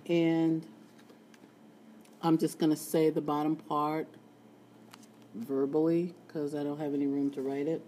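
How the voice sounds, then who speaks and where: Low raspy-voiced woman walking thru stats problems, chatty and playful, soft warm tone. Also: flat delivery, measured pace, long pauses, a little hiss or hum. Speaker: statistics teacher. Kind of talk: screencast math lesson. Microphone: tablet screen recording.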